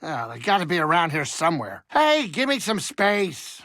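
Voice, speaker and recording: A man voicing a grumpy, fretful but soft-hearted older alien pilot and cook in a video game, with a colloquial, fussy, rambling delivery. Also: a little high, wildly swooping pitch, moderate pace, no room sound, clean studio sound. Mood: grumbling, fussy. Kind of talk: video game character dialogue.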